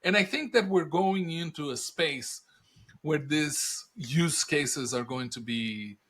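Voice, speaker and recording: A middle-aged man with a Brazilian Portuguese accent, a native Portuguese speaker, answering interview questions in lively stories full of rhetorical questions. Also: fairly high pitch, takes it slowly. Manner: animated storyteller, rhetorical questions, laughs at own punchlines. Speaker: podcast guest, industry analyst.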